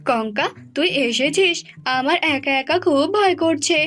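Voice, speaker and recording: A woman's voice: sits high, expressive, measured pace, a bit bright. A woman voicing an anxious, protective queen mother in a cartoon, fretful and insistent. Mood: fearful.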